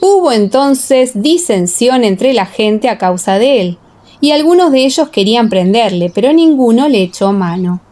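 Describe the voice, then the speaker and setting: A woman reading a dramatized Bible audiobook as narrator, in a solemn, measured storytelling manner. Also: on the high side, expressive, dark tone. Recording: echoing, long reverb.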